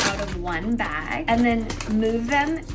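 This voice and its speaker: A woman playing a vain celebrity cooking host who gushes in a high, swooping, syrupy voice. Clear music plays underneath her. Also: animated, slow pace. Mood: mock-sweet. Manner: mocking parody, breathy sweetness, giggly. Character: vain woman celebrity host, self-absorbed, mock-sweet. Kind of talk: comedy sketch parody.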